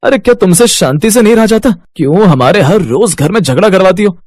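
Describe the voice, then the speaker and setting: A man playing a husky, pushy grown son. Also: high-pitched, lively intonation, brisk, a little mellow, no room sound, clean studio sound. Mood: irritated. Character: grown son, scolding, greedy, falsely contrite.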